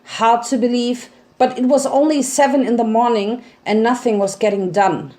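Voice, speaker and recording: A woman's voice: a little high, normal speed, mellow. A woman reading fan fiction aloud as a dramatic storyteller. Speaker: narrator.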